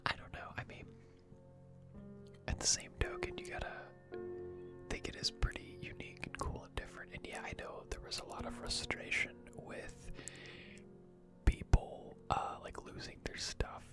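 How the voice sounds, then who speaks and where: Whispering ASMR gamer over music. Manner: hushed, unhurried, chatty. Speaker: video game streamer. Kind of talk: whispered ASMR gaming commentary, casual and rambling.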